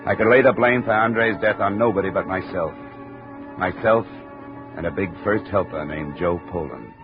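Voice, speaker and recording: A man's voice: low voice, normal speed. An actor playing a hard-boiled insurance investigator, narrating his case in clipped, terse sentences in a 1950 radio drama. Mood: regretful. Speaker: lead and narrator. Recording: muffled 1950 radio broadcast with music.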